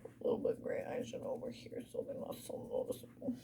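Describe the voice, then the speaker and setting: A woman speaking mostly in a breathy whisper, chatting casually to the viewer in an ASMR get-ready style. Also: fairly quick. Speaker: ASMR video creator.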